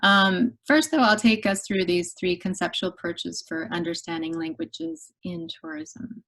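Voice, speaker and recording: A middle-aged woman giving an academic webinar lecture over a video call, thoughtful and measured, often pausing on "um". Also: lowish voice, lively intonation, creaky, fairly bright tone, rough recording. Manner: thoughtful, measured, with frequent ums. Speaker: guest lecturer.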